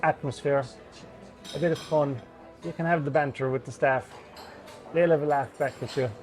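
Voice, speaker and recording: Cheerful restaurant manager, friendly, faint music bed. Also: male voice, a little high, fairly even intonation, normal speed, darkish tone. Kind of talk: interview.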